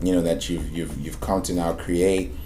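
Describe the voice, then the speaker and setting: A man's voice: low voice, fairly even intonation, moderate pace, dark tone, slight background noise. A man hosting a podcast, interviewing a guest in a casual, conversational way, recorded with an echoey room sound.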